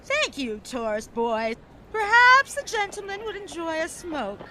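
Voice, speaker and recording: An actress playing a spirited young high-society woman of the 1920s in an audio drama, effusive and theatrical with a wistful streak. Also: sing-song, very noisy, heavily acted. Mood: teasing, brisk. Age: young adult. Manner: effusive, theatrical, halting when emotional. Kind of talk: period audio drama acting.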